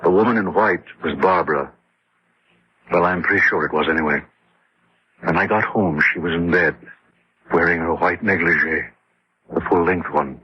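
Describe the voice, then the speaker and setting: A man's voice: low voice, moderate pace, long pauses, husky. An actor playing a defensive, rationalizing husband who tells his story in dramatic radio horror style. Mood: uneasy, confiding. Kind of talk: dramatic first-person radio horror narration and dialogue. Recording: muffled old-time radio drama recording.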